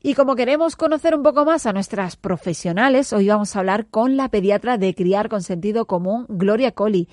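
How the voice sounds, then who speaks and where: A woman's voice: medium pitch, a little fast, hardly any pauses, darkish tone, no echo, clean studio sound. A woman hosting a podcast interview and putting questions to a guest.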